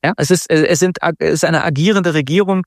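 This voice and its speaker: A man's voice: fairly high pitch, fast, no room sound, clean studio sound. A man hosting a weekly interview conversation. He talks in an engaged way, often checking in with short tag questions. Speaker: host.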